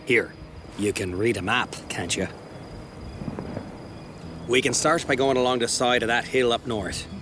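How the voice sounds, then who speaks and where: An actor playing a cocky, wisecracking First World War soldier in a game, with a Newfoundland accent and mocking delivery. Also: male voice, medium pitch, a little slow, long pauses, close to an everyday voice. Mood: wry. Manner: mocking, sarcastic banter. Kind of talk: dramatic game acting. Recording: music behind the dialogue.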